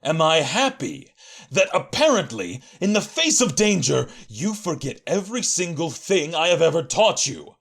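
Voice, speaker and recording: A man playing a stern, stubborn warrior mentor in an audio drama, gruff and clipped in manner. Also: on the high side, big pitch swings, moderate pace, a bit bright, heavily acted. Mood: angry scolding. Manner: gruff, clipped commands, scolding.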